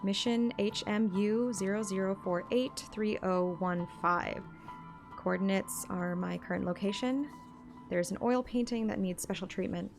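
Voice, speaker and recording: Creaky-voiced woman narrating a story. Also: medium pitch, unhurried. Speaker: narrator and streamer. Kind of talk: scripted first-person story narration, audiobook-like. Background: music.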